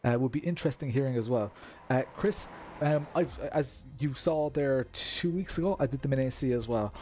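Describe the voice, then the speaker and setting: A man's voice: mid-range voice, moderate pace, warm tone. Chatty Irish man streaming sim racing. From Ireland. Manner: chatty, laughs often, self-deprecating. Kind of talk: casual live-stream chat.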